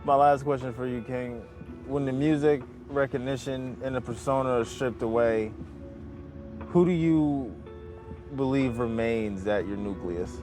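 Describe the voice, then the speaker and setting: A man's voice: medium pitch, a little slow, a little mellow, soft background music. A man hosting a podcast interview, laid-back and agreeable, using casual slang. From Albany, New York. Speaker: podcast host.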